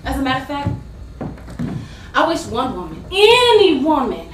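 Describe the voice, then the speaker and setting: An actress playing a fiery, wronged woman confessing a painful secret in a dramatic, drawn-out stage monologue. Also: sits high, huge pitch swings. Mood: scornful. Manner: dramatic, emphatic, drawn-out delivery with long pauses. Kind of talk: theatrical stage monologue with singing. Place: stage in a theater hall. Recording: distant, echoey, noisy stage-play recording.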